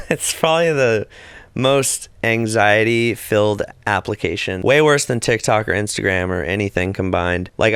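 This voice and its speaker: A man's voice: on the low side, a bit bright, clear, resonant tone, no room sound. A man interviewed as a content creator, talking frankly and laughing at himself. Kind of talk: casual interview.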